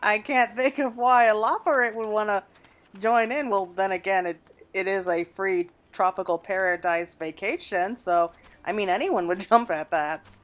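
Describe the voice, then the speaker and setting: A woman's voice: mid-range voice, normal speed. A woman giving casual gameplay commentary as the host of a gaming video.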